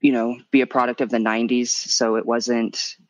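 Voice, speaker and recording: A man in his thirties with a light, higher voice, talking openly in a guest interview and lifting his pitch high when animated. His pitch sits around the middle for a man. The recording is dry and close, with no room sound. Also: normal speed, dry recording. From Missouri. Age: 30s. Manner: expressive, swoops up in pitch when animated, laughs often. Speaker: podcast guest, memoir author. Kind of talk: candid conversational interview.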